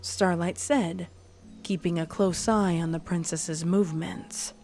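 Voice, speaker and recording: A woman narrates a dramatic fan fiction story from a script. Her voice sits slightly low for a woman. Soft music runs underneath the reading. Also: fairly even intonation, a little slow.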